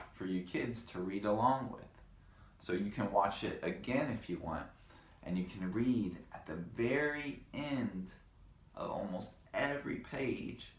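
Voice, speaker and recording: A man reading a picture book aloud to children in a playful, dramatic way, with chatty asides to the kids. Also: sits low. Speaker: read-aloud storyteller for children. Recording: roomy, echoing room sound.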